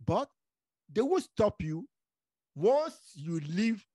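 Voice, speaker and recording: A man's voice: sits high, sing-song, slow pace, a little mellow, husky, no echo. A man from Nigeria whose first language is Igbo, hosting a podcast, who interrupts, repeats words and keeps checking with "okay?". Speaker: podcast host.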